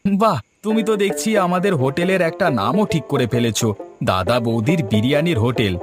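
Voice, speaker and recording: A man's voice: a little high, lively intonation, brisk, natural voice. A man voicing a cheerful village biryani shop owner in a cartoon, hearty and eager to please. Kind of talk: Bengali cartoon voice acting. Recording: background music.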